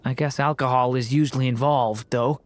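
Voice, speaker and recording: Low-voiced gentle dragon boy. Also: steady tone, a little fast. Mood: earnest. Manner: earnest, hesitant, mild. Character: gentle, earnest young dragon boy in human form. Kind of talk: video game character acting.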